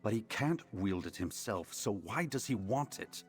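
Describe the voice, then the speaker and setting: A man voicing a brisk, impatient, analytical mage in a video game, clipped and formal as he thinks things through. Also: on the low side, expressive. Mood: pondering. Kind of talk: video game character acting. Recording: music behind the voice.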